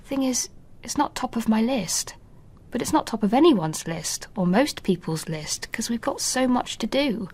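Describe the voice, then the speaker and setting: An actress playing a middle-aged wife and mother in a radio drama, earnest and anxious, thinking aloud in a soft voice. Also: on the high side, lively intonation, moderate pace, slightly rough.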